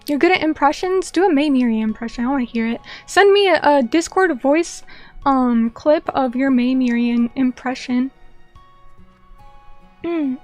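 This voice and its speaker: A woman's voice: sits high, normal speed, darkish tone, faint music behind. A young woman streamer chatting casually and reading viewer comments, bubbly and chatty, greeting people by name.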